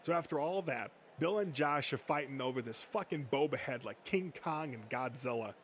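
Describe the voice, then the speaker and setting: A man voicing a rowdy, crude nerd full of gleeful bravado, animated and foul-mouthed, in a comic dub with slight noise. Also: medium pitch, normal speed, lightly acted. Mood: boastful, amused. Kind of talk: comic dub character acting.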